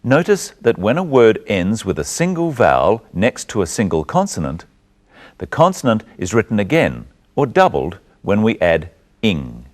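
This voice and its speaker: A man presenting a scripted English lesson in a deliberate, instructional manner. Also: fairly low pitch. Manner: didactic, deliberate explaining.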